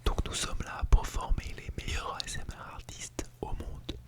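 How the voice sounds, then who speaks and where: ASMR performer for a classroom role-play: fully whispered, breathy, gently instructive with light playfulness, close-miked in binaural. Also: moderate pace. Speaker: ASMR artist role-playing as a teacher at an ASMR school. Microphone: close Blue Yeti microphone in binaural mode.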